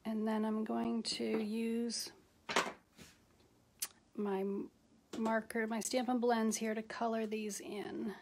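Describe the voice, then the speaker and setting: A middle-aged woman casually demonstrating a craft step by step, speaking in an unhurried, halting way as she works with her hands. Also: fairly high pitch, little pitch movement, vocal fry. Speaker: craft tutorial host. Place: indoors at a craft table. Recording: noisy home recording with clicks.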